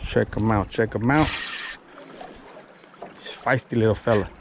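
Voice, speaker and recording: A man's voice: on the low side, unhurried. A man in his thirties giving casual running commentary to viewers as a fishing vlogger, repeating catchphrases and addressing them like buddies. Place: small open motorboat on a river. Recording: noisy open-air boat recording.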